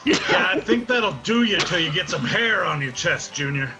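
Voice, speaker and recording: An actor playing a cocky, hard-drinking young Appalachian hillbilly who teases and defies in a radio drama. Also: male voice, sits high, lively intonation, moderate pace, over loud music. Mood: cocky, teasing.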